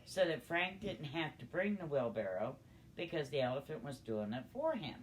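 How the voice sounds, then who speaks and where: An older woman telling a personal story in a conversational, halting, unhurried way. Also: low voice, sing-song, slight background noise, low-quality recording. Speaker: storyteller grandmother.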